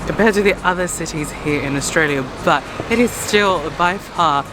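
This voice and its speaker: A man's voice: high voice, normal speed, music in the background, poor audio. A man from Hong Kong with a Hong Kong English accent, vlogging casually outdoors and chatting to viewers. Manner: chatty, addresses viewers as "you guys", many "um"s. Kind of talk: casual vlog to camera. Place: outdoors in a city street. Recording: loud outdoor street noise. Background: loud traffic and street noise.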